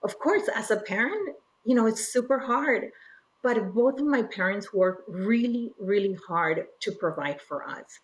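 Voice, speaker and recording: A woman's voice: on the high side, expressive, unhurried, poor audio. A woman from Peru, an interview guest telling her personal story in a reflective, earnest way. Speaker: interview guest, regional director.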